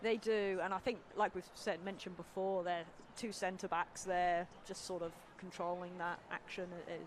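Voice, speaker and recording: A woman doing relaxed, conversational live football commentary at an outdoor stadium. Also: fairly low pitch, normal speed, slight background noise. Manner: relaxed, conversational, reacts to play. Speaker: match commentator.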